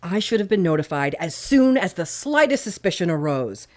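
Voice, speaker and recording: A woman's voice: fairly low pitch, sing-song, moderate pace. An actress playing a stern, skeptical female intelligence director in an audio drama, authoritative, clipped and sardonic. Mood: indignant.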